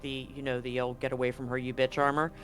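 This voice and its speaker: A man's voice: medium pitch, level tone, fast talker, fairly bright tone, soft background music. A man narrating as game master of a tabletop roleplaying game, casual, with fillers.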